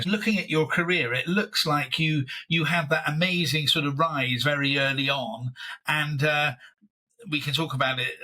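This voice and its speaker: A man's voice: fairly high pitch, bright, forceful tone. A man hosting an interview, asking long, hesitant questions full of fillers and sounding admiring of his guest. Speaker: interviewer, host.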